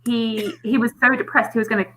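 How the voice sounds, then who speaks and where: A woman's voice: on the high side, level tone, fast talker, bright, forceful tone, low-quality recording. A woman in a casual book-club chat, thinking aloud with easy "like" fillers.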